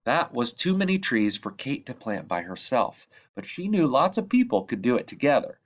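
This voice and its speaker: A man reading a children's book aloud as a narrator, with measured, expressive phrasing and emphasis on key words. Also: medium pitch, muffled recording. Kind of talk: read-aloud storybook narration.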